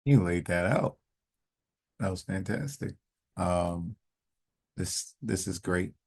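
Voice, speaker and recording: A man hosting a call, telling a story in a relaxed way before reading out questions from the chat. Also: deep voice, takes it slowly, husky. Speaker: host.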